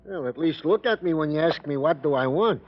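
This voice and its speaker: A man's voice: fairly high pitch, expressive, brisk, lightly acted. An actor playing a wordy, fatherly police sergeant in a radio drama, talking in a florid, mock-formal way. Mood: chiding, fond. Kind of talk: 1950s radio character acting. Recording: muffled, noisy old radio recording.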